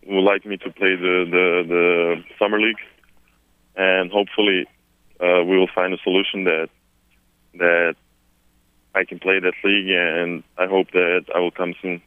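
A young man from Serbia with a Serbian accent, answering reporters on a conference call, halting and repeating words with many fillers. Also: sits low, flat delivery, clear, resonant tone, no room sound. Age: 20s. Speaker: newly drafted basketball player. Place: at home, far from the press. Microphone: telephone. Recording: tinny dry phone line.

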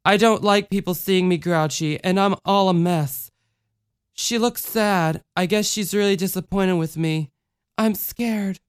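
Someone putting on a high, whiny, grouchy little girl. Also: unhurried, smooth, natural voice. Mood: grumbling, sad. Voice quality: small high childlike voice. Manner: whiny, petulant. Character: distraught grouchy little girl, whining and indignant.

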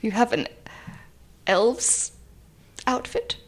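Casting: woman as teasing wife, high lively voice, improvised radio play. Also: very slow, husky, full character voice. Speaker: improv performer. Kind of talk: live improvised radio theater.

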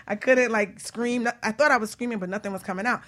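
A woman hosting a talk show, telling personal stories in an informal, animated and teasing way. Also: a little high, fast. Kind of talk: casual personal storytelling and chat.

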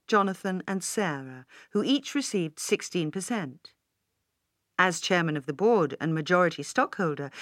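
A woman narrator plays a decisive businesswoman in an audiobook. She speaks with crisp assurance and a disdainful edge. The sound is close and dry. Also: medium pitch, no echo, close to an everyday voice. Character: poised, decisive businesswoman heir, firm and disdainful. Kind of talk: audiobook character dialogue.

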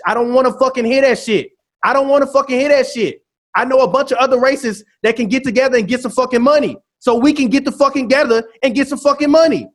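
A man around thirty with a fairly high voice rants hard in a casual debate. He swears constantly and hammers the same points again and again, insistent and fired up. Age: 30s. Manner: ranting, profane, repeats himself insistently.

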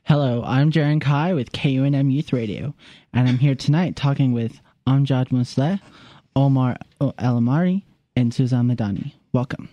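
A young radio host with a mellow, warm voice introduces guests in a friendly, plain way. The sound is close and dry. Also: male voice, mid-range voice, moderate pace, dry recording, highs cut off. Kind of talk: radio hosting and interviewing.

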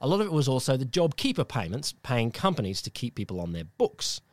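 Australian male podcast co-host, rambling and sardonic, mid pitch, dry recording. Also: big pitch swings, brisk, dry recording. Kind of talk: casual political chat.

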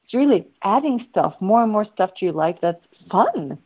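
A woman's voice: mid-range voice, animated, moderate pace, muffled recording. A woman from Sweden, a guest in a casual interview, speaking enthusiastically and playfully from home over a video call. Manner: enthusiastic, free-flowing, playful. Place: at home in Sweden with her dog.

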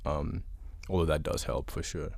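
A young adult man from Uganda, a guest musician answering interview questions in a relaxed, thoughtful way with many pauses. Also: extremely low pitch, vocal fry, no room sound.

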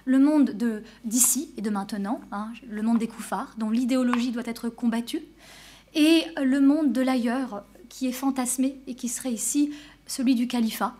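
A woman's voice: fairly high pitch, moderate pace, mellow. A woman researcher presenting at a conference panel, then answering questions with frequent restarts and hesitations. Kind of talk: academic conference talk and Q&A.

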